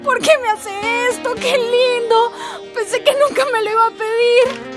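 A woman's voice: very high-pitched, level tone, normal speed, over loud music. An actress playing an emotional, overwhelmed young woman in love, in a melodramatic radio drama. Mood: astonished, tearful joy.

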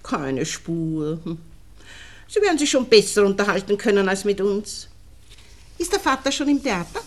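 An actress playing a nosy, falsely friendly married neighbour with a Viennese accent, chatty and insinuating, in a 1960s radio play. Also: female voice, medium pitch, sing-song, normal speed, close to an everyday voice. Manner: chatty, insinuating, colloquial dialect.

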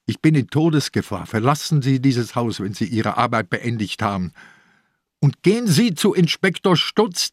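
An old, sick police inspector in a radio play. His voice is strained and pitched high, rising and falling sharply as he commands and implores. He is desperate to be believed, in a very dry studio sound. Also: husky, no room sound. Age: older. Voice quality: strained, laboured speech. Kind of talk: radio drama acting.

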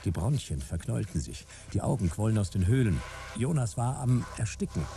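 An actor playing a hard-boiled private detective who narrates a sci-fi radio play in terse, wry, dramatic fragments. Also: male voice, fairly low pitch, sing-song, brisk, creaky, mellow, a little hiss or hum. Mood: dramatic, tense.

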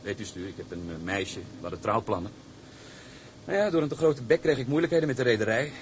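An actor playing an eager, deferential young ship's engineer in a Dutch radio drama, hesitant and apologetic. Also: male voice, lowish voice, lively intonation, fast, a little hiss or hum, close to an everyday voice. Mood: earnest, rueful.

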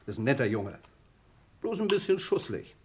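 A man's voice: medium pitch, sing-song, moderate pace, slight background noise, natural voice. A male actor playing an honest, hard-working and modest auto mechanic in a radio crime drama, good-natured and plain-spoken. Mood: modest, chatty.

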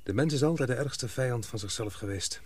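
Actor as husky, thoughtful young man. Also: mid-range voice, fairly quick, darkish tone, close to an everyday voice. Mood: reflective. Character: calm, thoughtful, devoted young man, Penny's fiancé. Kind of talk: radio drama acting.

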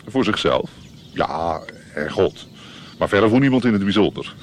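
A worldly court musician in a radio play. His voice is low and swings expressively through dry, laconic remarks, over faint outdoor noise. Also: sing-song, normal speed. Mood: dryly knowing. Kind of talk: radio drama dialogue.

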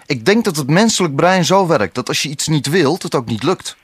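Man playing an impatient, snappy boyfriend, lively pitch. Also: a little fast, slight background noise, lightly acted. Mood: irritated. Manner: snappy, exasperated. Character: impatient, irritable boyfriend rushing to pack for a trip. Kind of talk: radio drama acting.

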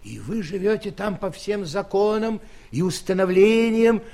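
A man's voice: high-pitched, unhurried, creaky, a little mellow. An actor in an audio play playing a grand giant king, pompous, declamatory and full of curious wonder. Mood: curious, amazed. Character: giant male king, grand, curious, condescending yet benevolent.

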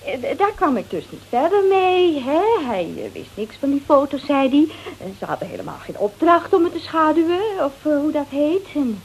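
A woman's voice: high voice, expressive, moderate pace, darkish tone, a little hiss or hum, low-quality recording. An actress in a radio play, playing a brash, coarse-tongued married woman confessing under threat in hesitant, colloquial speech. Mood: flustered, defensive. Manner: hesitant, colloquial, peppered with little tags. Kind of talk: Dutch radio play dialogue.

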